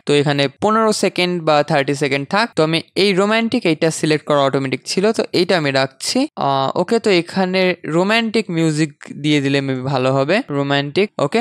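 A man's voice: on the high side, no room sound. A man, presenting a scripted tech tutorial to viewers, close on a studio microphone. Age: 20s. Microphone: close studio boom microphone. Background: faint background music.

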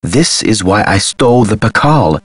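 An actor playing an eccentric, agitated scholar with memory loss in a video game, speaking theatrically with a husky voice. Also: male voice, fairly low pitch, animated, moderate pace. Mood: excited, urgent. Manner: theatrical, emphatic, swinging delivery. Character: eccentric, agitated male amnesiac Maya scholar.

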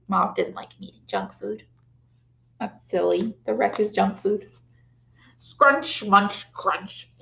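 A young woman teacher reading a picture book aloud to young children, animated and sympathetic, on a close webcam in a classroom. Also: a little high, vocal fry. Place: classroom, indoors. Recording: roomy classroom echo.

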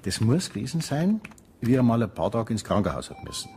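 An actor playing an easygoing small-town policeman with a Bavarian accent in a radio crime drama, unhurried and matter-of-fact. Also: mid-range voice, big pitch swings, vocal fry, darkish tone, soft background music. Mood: reflective. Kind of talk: naturalistic German radio crime drama acting.

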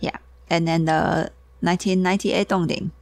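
Tired gravelly-voiced woman. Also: lowish voice, steady tone, no room sound. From Taiwan. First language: Taiwanese. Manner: halting, searching for words, giggly. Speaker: tea teacher. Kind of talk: guided tea tasting.

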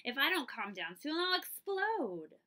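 Storybook girl pig, played by a woman reader: bright, indignant, drawn-out and emphatic. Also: high-pitched, big pitch swings, pitched above the performer's own voice, heavily acted. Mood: fuming. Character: indignant, affectionate girl pig in a picture book.

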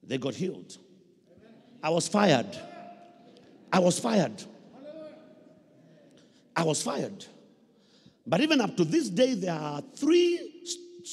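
A man's voice: high-pitched, darkish tone. A middle-aged Kenyan man preaching a sermon with emphatic repetition and questions to his listeners, speaking into a handheld microphone. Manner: emphatic, repeats phrases, prompts listeners with questions. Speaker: pastor preaching. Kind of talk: sermon with call-and-response questions. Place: large church hall, indoors. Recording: reverberant hall PA sound.

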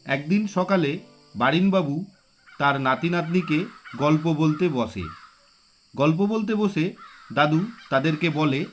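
A man narrating a spooky village cartoon story in a slow, measured way. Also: a little high, a little hiss or hum.

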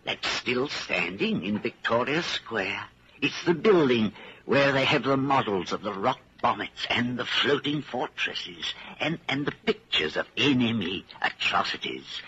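Old-time radio drama: aged shopkeeper, deferential, rambling, wistful, swooping pitch, unhurried, on a dull noisy recording. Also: male voice, lively intonation, bright, forceful tone, husky, full character voice. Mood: wistful reminiscing. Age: older. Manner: rambling, hesitant, polite old-timer's talk. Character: elderly widowed junk shop keeper, chatty, wistful, deferential. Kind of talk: 1940s radio drama acting. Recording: muffled, hissy 1940s radio recording.

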